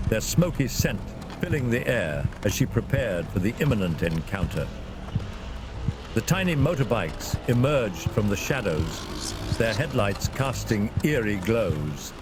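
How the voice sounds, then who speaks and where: A man narrating a scripted fantasy adventure in a dramatic storytelling style. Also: lowish voice. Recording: clear vehicle and ambience noise behind.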